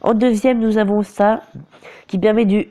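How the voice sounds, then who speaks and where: A man narrates a mobile game while nursing a cold and a sore throat. His tone is mellow and relaxed, and he keeps halting mid-phrase to hunt for the right word before pressing on. It is loose, casual commentary recorded on a phone. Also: sits high. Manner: hesitant, often restarts and corrects himself. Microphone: phone screen recorder.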